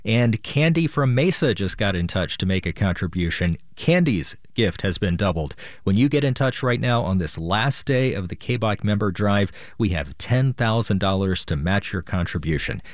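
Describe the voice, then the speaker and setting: Low-voiced man making a pledge pitch. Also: expressive, normal speed, hardly any pauses, no echo, studio quality. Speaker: radio host. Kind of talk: public radio pledge drive. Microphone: studio microphone.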